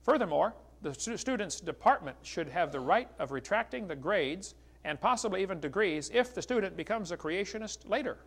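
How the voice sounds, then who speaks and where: Casting: American male evangelist lecturer, middle-aged, high voice with a heavy creak, folksy and combative delivery. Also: expressive, brisk, hardly any pauses. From United States. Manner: folksy, polemical, addresses listeners as "folks". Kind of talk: religious lecture with read-out quotations.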